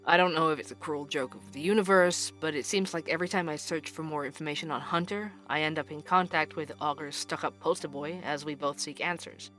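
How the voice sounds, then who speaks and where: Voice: mid-range voice, fairly quick, hardly any pauses, pushed and bright, lightly acted. Wry investigative radio host for audio drama, acted, sardonic and conversational, music underneath. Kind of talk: audio drama radio show monologue and interview.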